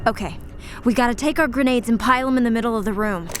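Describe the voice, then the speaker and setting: A woman's voice: a little high, fast, slight background noise, lightly acted. A young woman playing a determined, merciful squad leader who gives earnest, firm orders in a radio drama. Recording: radio drama with loud action sound effects.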